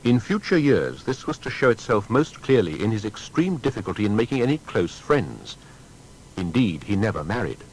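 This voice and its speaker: Low, expressive male documentary narrator. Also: low-quality recording. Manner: measured, dramatic, formal. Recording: faint background rumble and noise.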